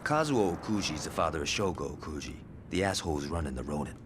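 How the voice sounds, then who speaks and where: Brash male gangster for a crime game, American, low voice with big swings, noisy backdrop. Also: animated, fast. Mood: casual, confident. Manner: casual, profane street slang. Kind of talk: video game cutscene acting.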